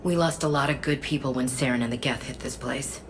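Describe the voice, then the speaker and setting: A woman's voice: a little fast, slight background noise. A woman acting a tough, plainspoken soldier in a video game, frank and weary. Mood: rueful. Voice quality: husky low, gravelly.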